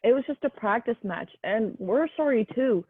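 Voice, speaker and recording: A woman's voice: a little high, lively intonation, normal speed, breathy edge, no room sound. Young woman acting chatty gamer, tinny audio. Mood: concerned. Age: young adult. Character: Jay, quirky, kindly young gamer. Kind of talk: student one-act play acting. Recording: tinny dry phone-like audio.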